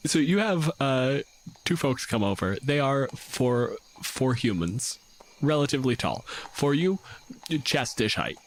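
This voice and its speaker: Casual game master, man with medium pitch. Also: expressive, measured pace, a little hiss or hum. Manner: casual, profane. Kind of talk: improvised tabletop game narration.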